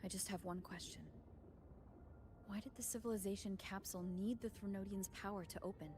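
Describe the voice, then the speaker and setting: A woman's voice: medium pitch, fairly even intonation, a little fast, noisy background, close to an everyday voice. An actress playing an earnest, questioning young female adventurer in a video game. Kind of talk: dramatic video game voice acting. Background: game music.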